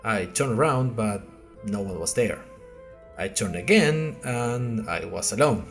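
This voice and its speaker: Guy acting as confused young college kid doing an audio drama diary, talks right to listeners, wry and unsure, swingy pitch. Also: animated, measured pace, gravelly, soft background music. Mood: puzzled, storytelling. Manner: conversational, wry, hesitant, addressing listeners directly. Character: bewildered, earnest male college newcomer keeping an audio diary. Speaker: narrator and podcast host character. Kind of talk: first-person audio fiction narration.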